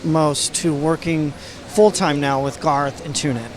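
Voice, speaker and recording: A man's voice: on the high side, fairly even intonation, measured pace. A man co-hosting a podcast, asking friendly, enthusiastic interview questions in casual chat. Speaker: podcast co-host. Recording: crowd noise around.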